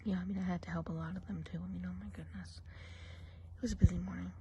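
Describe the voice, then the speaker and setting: A woman playing a friendly, gossipy witch of the woods in a hushed, chatty ASMR role play, in a soft breathy whisper. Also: fairly low pitch, steady tone, quick pace, a little hiss or hum, lightly acted.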